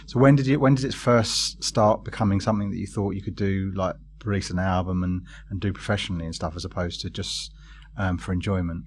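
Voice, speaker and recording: A man's voice: sits low, fairly even intonation, fairly quick. A man hosting an interview, chatty and often restarting his questions. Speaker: interviewer, host. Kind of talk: casual interview.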